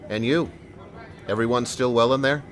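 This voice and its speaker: A man playing a calm, sincere and determined police sergeant in an audio drama. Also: mid-range voice, normal speed, a little hiss or hum, close to an everyday voice.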